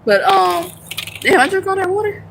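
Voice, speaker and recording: A woman's voice: extremely high pitch, lively intonation, normal speed, background noise. A young American woman chatting casually on a livestream, reading and answering viewer comments, laughing easily and swearing now and then. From United States. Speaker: livestream host. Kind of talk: casual live chat with viewers.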